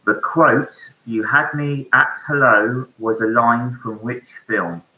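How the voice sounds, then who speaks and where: A man hosting an online pub quiz, reading each question slowly and repeating it. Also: mid-range voice. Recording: echoey room, dull lo-fi sound.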